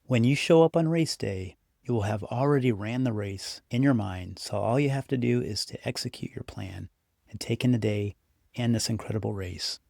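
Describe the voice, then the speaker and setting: A man with a low, gravelly voice leads a guided visualization in a close, dry recording. He addresses the listener directly, giving instructions and encouragement in an unhurried, scripted delivery. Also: darkish tone, no echo. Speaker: meditation guide. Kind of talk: guided meditation, scripted narration.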